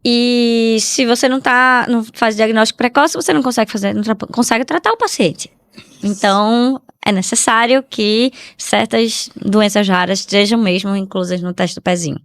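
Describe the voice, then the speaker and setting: A woman's voice: a little high, steady tone, normal speed, dry recording. Dry-sounding Brazilian woman explaining things casually. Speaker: geneticist, podcast guest.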